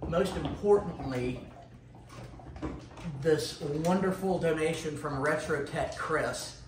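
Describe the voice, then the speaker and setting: A middle-aged man giving a casual, unscripted show-and-tell, halting and pausing mid-sentence. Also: on the high side, background noise. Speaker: retro computer vlogger. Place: dining room at home, indoors.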